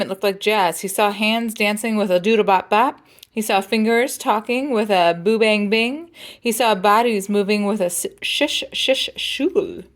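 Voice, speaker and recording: A woman reading a picture book aloud as a storyteller, with playful, dramatic phrasing and jazzy sound effects. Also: medium pitch.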